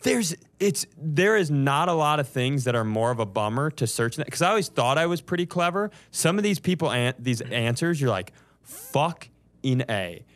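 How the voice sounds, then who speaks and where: A man's voice: mid-range voice, big pitch swings, moderate pace. A man co-hosting a comedy podcast, chatting casually in a joking, profane way.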